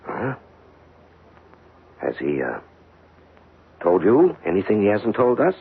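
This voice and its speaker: An actor playing a weary, skeptical American police detective husband in a radio drama, talking plainly and emphatically. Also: male voice, on the low side, huge pitch swings, slow, creaky, breathy edge, heavily acted. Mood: guarded, terse. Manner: colloquial, emphatic, matter-of-fact. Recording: dull lo-fi 1970s radio recording.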